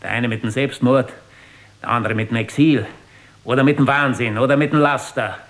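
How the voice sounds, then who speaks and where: An actor playing a cynical, flippant Austrian aristocrat in a radio drama, sardonic and drawling, with an Austrian accent. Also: male voice, medium pitch, steady tone, moderate pace, a bit bright, close to an everyday voice. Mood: scornful, derisive.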